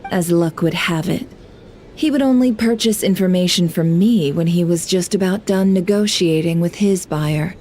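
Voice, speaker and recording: A woman voicing a composed, shrewd intel broker in a video game, speaking in a formal, measured, coolly teasing way. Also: on the low side, a little mellow, slight background noise, lightly acted. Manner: formal, measured, understated menace.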